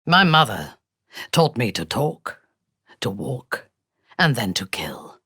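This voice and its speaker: Ruthless female fantasy game warrior, husky, very dynamic intonation, imperious, deliberate, dry close sound. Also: a bass voice, very animated, a bit bright, dry recording, heavily acted. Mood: cold menace. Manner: haughty, deliberate, theatrical delivery. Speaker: video game character voice. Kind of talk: dramatic fantasy game voice lines.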